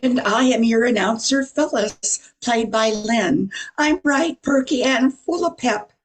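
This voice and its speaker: An older woman playing a bright, perky announcer selling products in an old-time radio show. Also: fairly high pitch, unhurried, slight background noise, close to an everyday voice. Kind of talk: 1940s radio advertising.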